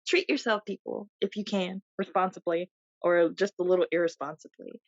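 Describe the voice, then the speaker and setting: Podcast lady telling travel stories, laughs a lot, lowish voice, dull dry lo-fi sound. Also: normal speed, no echo. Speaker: podcast co-host. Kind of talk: casual chat.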